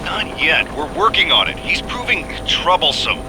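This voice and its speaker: A man's voice: on the high side, big pitch swings, moderate pace, loud music behind the voice, poor audio. A man playing a ruthless, callous field commander, barking military orders in a video game cutscene. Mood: terse, determined. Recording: very loud gunfire and helicopter noise.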